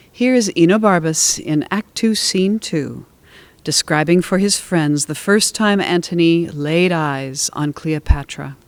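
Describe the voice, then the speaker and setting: A narrator tells the story of a classical drama from a script, linking scenes in an expository way. The voice sits at a middle pitch and is recorded very dry. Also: dry recording. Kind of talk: scripted narration of a play's plot.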